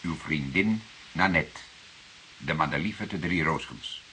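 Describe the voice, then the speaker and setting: An actor playing a shrewd older police detective in a Dutch radio drama, questioning deliberately with an insinuating irony. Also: male voice, a bass voice, pushed and bright, heavily acted. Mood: slyly admiring. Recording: hissy radio play recording.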